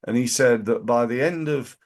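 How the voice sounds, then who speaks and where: Man, Yorkshire accent, hoarse with fry, casual and emphatic coach, speaking to camera from an office. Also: medium pitch, moderate pace. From Yorkshire, England. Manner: emphatic, repeats key phrases, many fillers. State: hoarse from flu. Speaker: business coach. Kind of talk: casual to-camera business advice. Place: office, in front of a backdrop screen.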